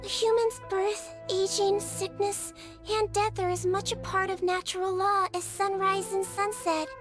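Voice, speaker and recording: Very high-pitched, small nonhuman nurse girl, soft, patient, caring, game character, music playing behind. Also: little pitch movement, measured pace. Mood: reflective. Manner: soft, patient, matter-of-fact. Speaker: head nurse.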